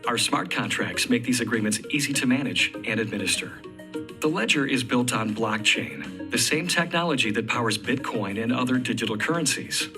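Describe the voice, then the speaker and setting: A man's voice: medium pitch, animated, moderate pace. A man reading scripted narration for an explainer video. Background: background music.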